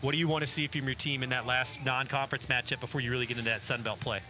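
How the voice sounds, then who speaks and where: A young man interviewing a coach after a game on a headset mic, in a brisk, upbeat broadcaster manner. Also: medium pitch, steady tone, pushed and bright, slight background noise, low-quality recording. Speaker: team media interviewer. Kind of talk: post-game interview questions. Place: indoor media room before a backdrop.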